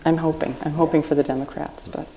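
A woman guest speaks at a live podcast taping on a festival stage. Her voice is low and mellow. She explains her point earnestly through an extended metaphor. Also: flat delivery, quick pace, rough recording. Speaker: guest writer.

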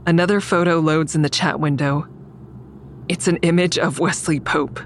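An anxious, secretive woman with a distinctly low voice, in an audio drama. She moves between first-person narration and dialogue, over clearly audible background ambience. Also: steady tone, moderate pace, slight background noise. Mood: alarmed.

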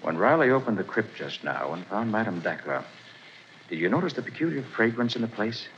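A calm, commanding master detective with a low voice that rises and falls with emphasis. His tone is bright and deliberate. The sound is dull and faintly hissy, like an old radio show. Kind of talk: 1940s radio drama acting.

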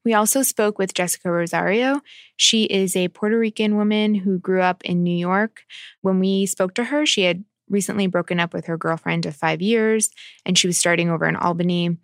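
A woman deputy editor speaks in a measured, deliberate way. Her voice sits a bit low. The sound is dry and close. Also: dry recording. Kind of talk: explanatory podcast talk.

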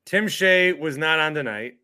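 A man chats through a sports podcast stream, his voice bright and sitting a bit high for a man. He jokes freely and pokes fun at himself, keeping the talk loose and casual. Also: lively intonation, a little slow. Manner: joking, self-deprecating, chatty. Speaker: podcast host.

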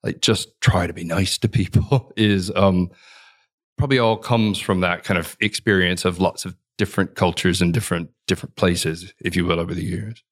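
A man's voice: deep voice, steady tone, normal speed. A man being interviewed about his consulting career, answering in a reflective, rambling way with many restarts. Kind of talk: conversational podcast interview.

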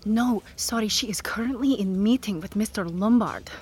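A woman's voice: mid-range voice, moderate pace, darkish tone, slight background noise, lightly acted. An actress playing a polite, formal female executive assistant with slightly stilted phrasing, in a naturalistic audio drama. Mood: discreet.

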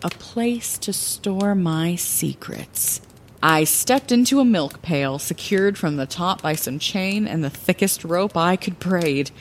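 Woman playing an animated, chatty lighthouse keeper. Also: lowish voice, takes it slowly, slight background noise. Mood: storytelling. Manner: expressive, hesitant, conversational asides. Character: Zellera, chatty, scrappy young woman lighthouse keeper recounting secret treasure. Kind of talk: audio drama bedtime-story narration.

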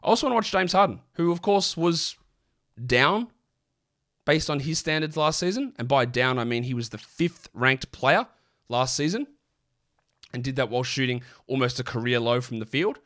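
A man, sick and croaky, hosting a casual basketball podcast with lots of rambling restarts. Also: medium pitch, animated, moderate pace, fairly bright tone. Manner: rambling, chatty, many fillers and restarts. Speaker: fantasy basketball podcast host. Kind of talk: casual solo podcast talk.

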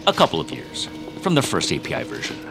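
An actor playing a self-important, manipulative tech CEO, smoothly condescending with theatrical pauses, in an audio drama. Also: male voice, rumbling low, huge pitch swings, over music, heavily acted. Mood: breezily demanding.

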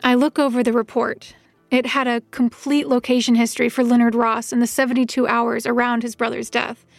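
A woman playing a world-weary, wry first-person narrator in an audio drama, with measured literary delivery. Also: a little high, level tone, gravelly, no echo, soft background music. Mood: wry, reluctant. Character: world-weary, recovering-alcoholic woman narrator, reluctant detective's helper.

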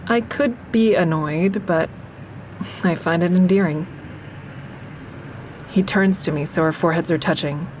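A woman's voice: fairly low pitch, measured pace, long pauses, darkish tone, a little hiss or hum, close to an everyday voice, poor audio. An actress playing a female comic, narrating her love life in an intimate, wry way. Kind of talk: first-person audio drama narration.

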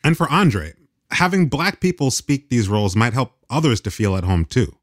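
A man's voice: mid-range voice, big pitch swings, normal speed, no echo, studio quality. A man hosting a radio program, narrating in a conversational way. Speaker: radio host.

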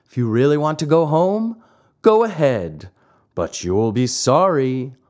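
A man voicing a sly, smooth-talking fox who coaxes and persuades. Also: medium pitch, big pitch swings, a little slow, darkish tone, pitched above the performer's own voice. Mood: coaxing. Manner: coaxing, persuasive.

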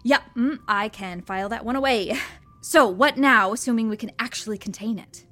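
A wry, chatty doctor, talks in a fairly high voice. She is playful and teasing, a space medic in audio fiction, with music faintly behind her. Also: normal speed, pushed and bright. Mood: breezy, wry.

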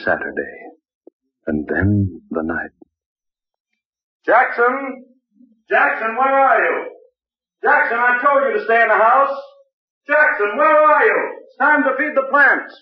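A man playing an irritable, selfish husband and scientist in an old-time radio drama, impatient and blustery, with a husky voice. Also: very high voice, very animated, slow, pauses often, exaggerated voice. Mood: grim, remembering, then calling out. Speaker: radio actor. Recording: muffled old radio recording.

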